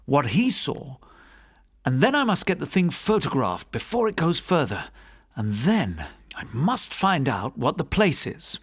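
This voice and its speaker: A male reader plays an anxious university curator from a storybook ghost tale. His pitch lifts and swings as he puts urgent, polite questions. He sounds intensely curious and easily excited, always with a scholarly courtesy. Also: a little slow, breathy edge, heavily acted. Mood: resolute.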